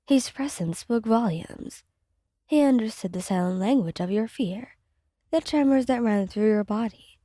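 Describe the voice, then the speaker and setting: A woman reading scripted fan fiction as soft ASMR narration, with a gentle, soothing delivery. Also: medium pitch, no room sound, clean studio sound.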